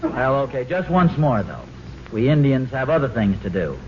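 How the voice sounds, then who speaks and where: A man's voice: mid-range voice, big pitch swings, moderate pace, natural voice. An actor playing a playful, put-upon American suburban father in a 1940s radio comedy, with a hesitant, wry manner. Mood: wry, weary. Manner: hesitant, wry, excuse-making. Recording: muffled, noisy old radio recording.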